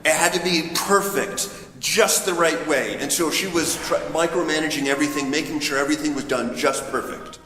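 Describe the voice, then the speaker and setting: A man, a priest, giving a conversational, anecdotal homily in a reflective, somewhat halting manner. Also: a little high, sharp tone. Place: large reverberant church interior. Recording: echoey church, long reverb, background noise.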